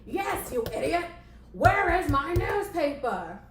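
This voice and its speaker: A woman's voice: lowish voice, far from the mic, pitched below the performer's own voice, natural voice. A woman voicing a grumpy, harsh male boss in a puppet show, grumbling and bossy. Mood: furious. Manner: muttering grumbles, slow drawn-out bellowed demands. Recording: roomy echo, background noise.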